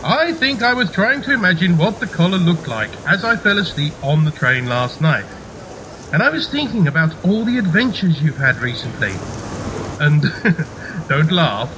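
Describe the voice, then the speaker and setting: A man's voice: on the high side, sing-song, moderate pace, background noise. A man playing a polite, flustered British naval captain and affectionate husband in an amateur radio play. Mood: affectionate, sheepish.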